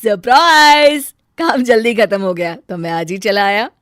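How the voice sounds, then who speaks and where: A woman voicing an excitable young husband in an animated story. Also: on the high side, a little slow, no echo, studio quality. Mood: cheerful. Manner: loud, excitable. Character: young husband, cheerful then frightened.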